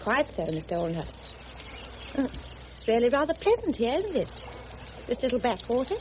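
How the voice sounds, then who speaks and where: A woman's voice: a little high, big pitch swings, moderate pace. An actress playing a prim, determined English missionary in her thirties, with Received Pronunciation and a formal, earnest manner, in a radio drama. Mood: polite, pleased. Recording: muffled, noisy old radio drama recording.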